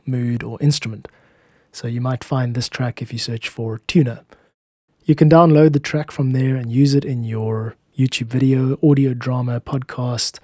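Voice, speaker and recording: A man hosting a podcast as a composer, narrating from a script in a measured, reflective way with deliberate phrasing. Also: medium pitch, dark tone, no room sound, studio quality.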